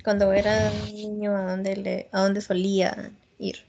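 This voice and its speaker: A woman from northeastern Colombia with a Colombian accent, an English teacher on a video call who gently corrects students and mixes Spanish with English. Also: medium pitch, completely flat delivery, a little slow, vocal fry, lo-fi sound, slight background noise. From Norte de Santander, Colombia. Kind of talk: online English class.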